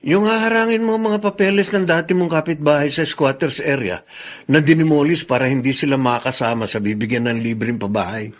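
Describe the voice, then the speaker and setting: An earnest man in a radio drama who reminds a longtime friend of his public duty, played by an actor. His voice sits a little high. He speaks in a measured, admonishing way. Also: fairly even intonation, close to an everyday voice. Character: principled male colleague, reproachful longtime friend.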